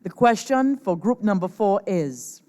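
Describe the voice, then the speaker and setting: A woman hosting a stage competition, announcing formally and deliberately through an echoey PA. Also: medium pitch, lively intonation, dark tone. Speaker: host, master of ceremonies at a competition. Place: large performance venue, over a PA system. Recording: echoey hall PA, long reverb.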